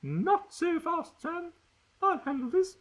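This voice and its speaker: A man's voice: very high-pitched, very animated, takes it slowly, fairly bright tone, pitched above the performer's own voice, heavily acted. A man voicing a bossy, sneering schoolgirl bully who speaks in a prim, over-polite way.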